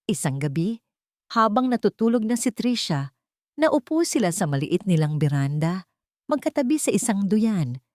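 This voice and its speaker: A woman's voice: medium pitch, sing-song, moderate pace, darkish tone, dry recording, clean studio sound. A woman reading a scripted romantic story in a dramatic, sentimental way. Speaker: story narrator.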